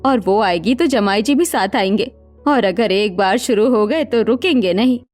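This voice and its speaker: A woman's voice: mid-range voice, moderate pace, darkish tone, soft background music, close to an everyday voice. A woman voicing a worn-out, anxious old mother-in-law in a cartoon story, with a plaintive, dramatic delivery. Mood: worried. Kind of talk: Hindi cartoon story dialogue.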